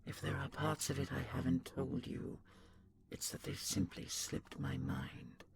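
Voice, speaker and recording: A man playing an ancient, secretive but tender dragon in an audio roleplay, with a gravelly, often whispery voice and a measured, intimate delivery. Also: medium pitch, very animated, slight background noise, heavily acted. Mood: patient, guarded.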